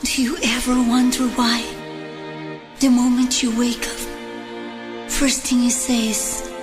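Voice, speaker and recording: Slow, high-pitched woman over music. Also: flat delivery. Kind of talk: devotional scripture reading over music.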